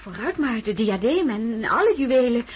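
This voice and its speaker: A high-voiced, flustered young princess. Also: female voice, sing-song, moderate pace, slightly rough. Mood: exasperated, bewildered. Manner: flustered, demanding. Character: bewildered, exasperated young princess and wife. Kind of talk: radio play acting.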